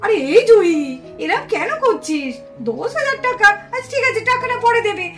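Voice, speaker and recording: A woman's voice: very high voice, lively intonation, moderate pace. A woman playing a family mother in a puppet show, coaxing and mildly scolding. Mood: coaxing, chiding. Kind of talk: puppet-show cartoon dialogue. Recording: music behind the voice.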